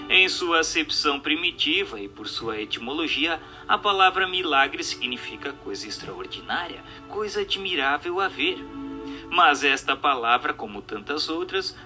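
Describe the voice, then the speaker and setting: Very bright, forceful male narrator over music. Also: mid-range voice. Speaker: narrator, audiobook reader. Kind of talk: formal scripted narration of a religious-philosophical book. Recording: music bed under the voice.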